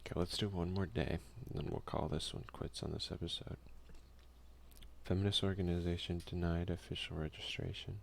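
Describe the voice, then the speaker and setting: A man softly giving casual ASMR let's play commentary, muttering offhand asides and reading game text aloud. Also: low voice, steady tone, a little fast, creaky, a little hiss or hum. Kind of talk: ASMR gaming commentary, soft-spoken and casual.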